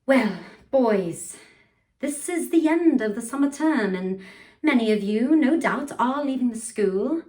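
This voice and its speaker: A woman playing a tipsy, pompous upper-class English gentleman with a put-on British accent, rambling through a grandiloquent speech. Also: on the high side, animated, unhurried. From United States. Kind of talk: theatrical monologue performance. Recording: voice from far off the mic.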